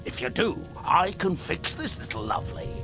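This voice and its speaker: A crusty male siege engineer from a fantasy game. His gravelly voice sits fairly high and swings about in a lively way, gruff and feisty as he gives urgent orders. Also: moderate pace, over music. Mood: feisty, irritated. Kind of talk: video game voice acting.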